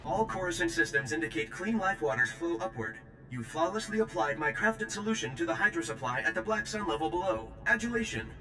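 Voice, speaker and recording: A man's voice: high voice, very animated, brisk, sharp tone, roomy sound, a little hiss or hum, full character voice. A male actor playing an earnest, aggrieved alien maintenance worker in a video game, speaking in stilted, formal, oddly worded phrases. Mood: grateful, formal. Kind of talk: video game character acting.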